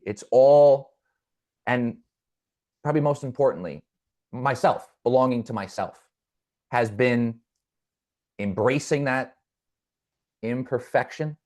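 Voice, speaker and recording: A man's voice: medium pitch, slow, pauses often, a little mellow. A man guest in an interview, speaking earnestly and reflectively, with a stutter that brings repeated words and restarts. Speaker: podcast guest. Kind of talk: conversational interview.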